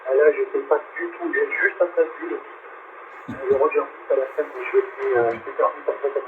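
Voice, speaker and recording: A man heard through a radio transmission, chatting casually as a hobby operator, halting and searching for words. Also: fairly high pitch. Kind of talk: casual two-way radio chat. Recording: narrow, noisy CB radio reception.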